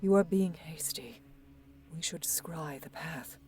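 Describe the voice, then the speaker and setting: An actress playing a wary, prophetic alien seer in a science fiction audio drama, solemn, measured and breathy. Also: female voice, deep voice, over music. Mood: wistful.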